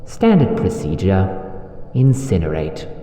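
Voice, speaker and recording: A man's voice: medium pitch, sing-song, a little slow, warm tone, voice pushed up. A man voicing a detached, clinical cat nurse with a nonchalant manner in a fan-made audio drama. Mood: dismissive. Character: detached cat nurse, curious but callously businesslike. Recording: echoey hall reverb, faint noise.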